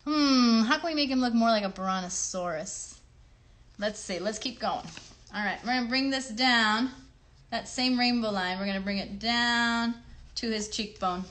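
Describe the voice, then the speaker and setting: A woman's voice: a little high, moderate pace, a bit bright. A woman hosting a children's craft livestream, chatting through each step in simple words and often checking in with her young viewers. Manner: chatty, encouraging, often asks the viewers questions; simple child-directed wording.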